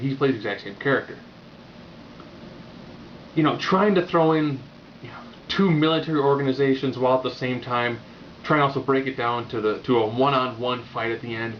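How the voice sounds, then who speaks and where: A man's voice: medium pitch, a little fast, long pauses. Man reviewing a movie, muffled noisy recording. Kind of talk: casual opinionated video review. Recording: muffled lo-fi audio with background noise.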